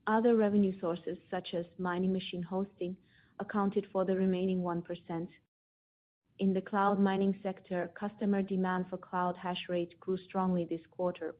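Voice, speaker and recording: A woman speaking as a finance executive, reading a scripted presentation of financial results in a formal manner. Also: medium pitch. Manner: formal, read-out delivery. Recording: tinny phone-line conference call audio.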